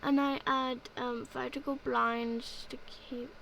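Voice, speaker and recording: A woman's voice: high voice, flat delivery, takes it slowly, gravelly, fairly bright tone, a little hiss or hum. A young woman narrating her gameplay in a casual voice-over, rambling and filling gaps with "like, yeah". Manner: rambling, filler-heavy, repeats "like, yeah". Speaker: gaming video creator.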